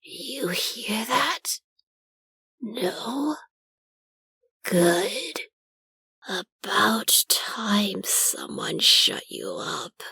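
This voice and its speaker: A woman voicing a weary, menacing animatronic puppet in a video game, hushed and deadpan. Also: mid-range voice, no room sound, heavily acted, low-quality recording. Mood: cold, weary. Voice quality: hushed, breathy, creaky. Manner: cold, deadpan, deliberate. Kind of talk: video game character voice lines.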